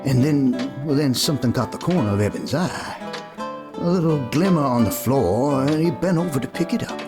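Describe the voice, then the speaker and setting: A man's voice: mid-range voice, expressive, normal speed, lightly acted. A man playing a folksy cowboy storyteller narrating a Western radio play. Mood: hushed wonder. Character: folksy cowboy storyteller telling a boy a tale. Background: guitar music.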